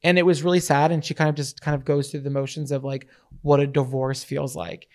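A man in his thirties with a raspy voice talks into a handheld mic in a small home studio. He chats eagerly and at length about a favorite record, like a co-host running a casual podcast segment. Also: a little high, level tone, a little fast, darkish tone. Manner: chatty and enthusiastic, gushes about music. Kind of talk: casual chat. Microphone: handheld microphone.

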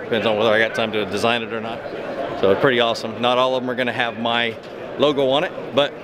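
A man's voice: mid-range voice, little pitch movement, fast. Chatty man vlogging in a noisy expo hall. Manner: upbeat and chatty, rambling with frequent restarts. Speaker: fishing vlogger. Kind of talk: casual walk-and-talk product tour. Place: large indoor trade show hall. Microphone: camera mic held close while walking. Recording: loud crowded expo hall background noise. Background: loud crowd chatter of a busy convention floor.

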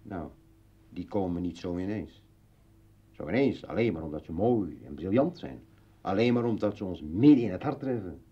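An actor playing a brooding, philosophical old man with bitter irony in a declamatory, halting radio play monologue. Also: sits low, animated, mellow, breathy edge. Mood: musing, ironic. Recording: dull vintage radio drama recording.

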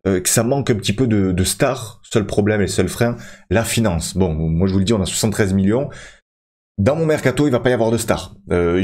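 A man's voice: on the low side, a little mellow. A man in his thirties casually commentating his football career game for viewers, chatty and full of fillers. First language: French. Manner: chatty, addresses viewers as friends, many fillers. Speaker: gaming YouTuber.

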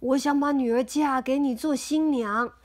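A woman reading aloud as a fretful old male mouse village chief who stammers and exclaims loudly. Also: high-pitched, steady tone, moderate pace. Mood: pleading. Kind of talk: storybook read-aloud.

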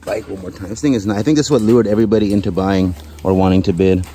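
A man chatting casually while digging through a storage unit, speculating about finds and prices. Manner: chatty, speculating, quick pitch jumps when excited. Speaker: storage auction vlogger. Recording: noisy storage unit recording.

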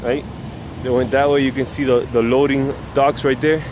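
A man's voice: mid-range voice, little pitch movement, moderate pace. Man walking city streets, narrating. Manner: rambling, restarting often. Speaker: vlogger exploring old rail sites. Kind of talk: casual walking commentary. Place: outdoors on city streets at sunset. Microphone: handheld camera mic. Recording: outdoor traffic noise. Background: street traffic.

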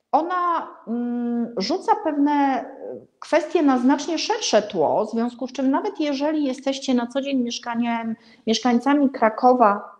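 A middle-aged woman hosts an informal book review to camera, pausing and correcting herself as she goes. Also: on the high side, a little mellow. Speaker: vlog host recommending books. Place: indoor room hung with paintings.

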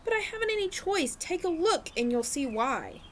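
A woman's voice: extremely high pitch, big pitch swings, normal speed, slight background noise, pitched above the performer's own voice. A woman doing a glum pouting fish. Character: glum male fish, gloomy and mopey.

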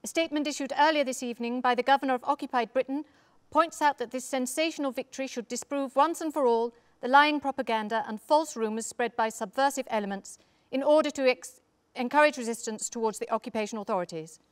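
Woman acting high, stern wartime news announcer. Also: quick pace, smooth, natural voice. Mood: stern, official. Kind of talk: formal 1940s news bulletin reading. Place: live stage reading in a theatre.

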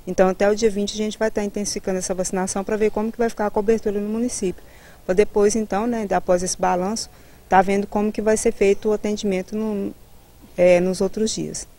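A woman in her thirties with a Brazilian Portuguese accent, answering interview questions as a health official, haltingly and with many tag words, into a reporter's mic outdoors. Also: medium pitch, little pitch movement, dark tone. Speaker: public health immunization director. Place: outdoors in a building's garden. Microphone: handheld reporter microphone held toward her.

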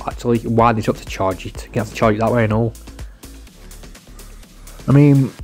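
A man with an average-pitched voice presents a casual product demonstration. He talks informally as a reviewer, rambling along and circling back over the same points. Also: a little mellow.

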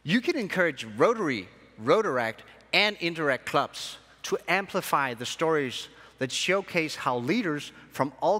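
A young man, born in Sri Lanka and raised in Denmark, giving a keynote speech to a convention audience from a large hall stage with measured, emphatic phrasing. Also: fairly high pitch, pushed and bright. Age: young adult. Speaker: conference speaker. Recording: long hall reverb.

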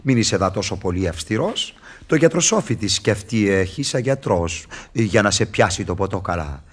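An actor in a radio drama playing a sly, mocking devil who wheedles and teases in a declamatory way. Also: male voice, on the low side, expressive, husky. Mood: amused. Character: sly, mocking devil tempter and fixer, male. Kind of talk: theatrical radio drama acting.

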